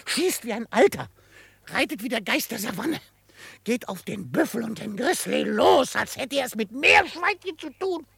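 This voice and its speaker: Creaky, blustering old frontier trapper. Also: male voice, very high voice, moderate pace, no echo, exaggerated voice. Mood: exasperated fondness. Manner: excitable, grumbling, chuckling, theatrically indignant. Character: quirky, blustering old frontier trapper, gruff but fond. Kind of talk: German radio drama acting.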